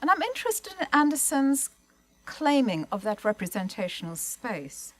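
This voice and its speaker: A woman speaking as a panellist, giving a prepared literary talk in an articulate, formal way with measured phrasing. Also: sits high, big pitch swings. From migrated to Australia, lived in Melbourne.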